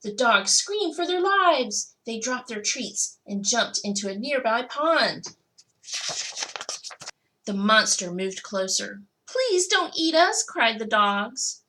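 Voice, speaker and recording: Woman, high bright voice, expressive storybook read-aloud for children, emphatic drawn-out words, faint background noise. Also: rough recording. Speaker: school technology coordinator reading to children.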